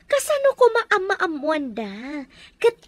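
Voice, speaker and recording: Actress as cruel villainess; very high, animated, commanding and scornful, radio drama. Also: female voice, slow pace, no echo, full character voice. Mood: bossy, menacing. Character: cruel, bossy female villain holding a captive. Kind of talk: Ilocano radio drama acting.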